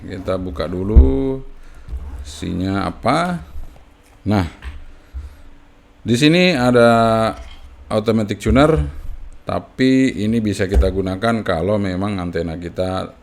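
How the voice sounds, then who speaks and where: A man's voice: fairly low pitch, vocal fry. A man gives a casual hands-on tutorial as a hobbyist presenter, explaining piece by piece and often tagging phrases with a short confirming word. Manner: halting, piecemeal explaining with frequent "ya" tags.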